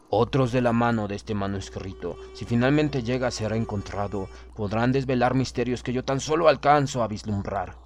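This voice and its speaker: A man reading a literary horror tale as an audiobook narrator, in a measured, formal and solemn dramatic delivery. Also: fairly low pitch, a little mellow.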